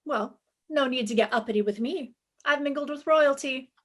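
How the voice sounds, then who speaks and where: A woman's voice: sits high, normal speed, lightly acted. A woman playing a brash, wisecracking young private detective in a retro radio drama, wry and self-assured. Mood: sassy.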